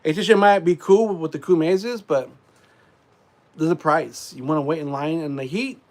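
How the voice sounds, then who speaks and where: A congested man rambling casually on a live stream, full of fillers and frequent throat clearing. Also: fairly high pitch, normal speed, darkish tone.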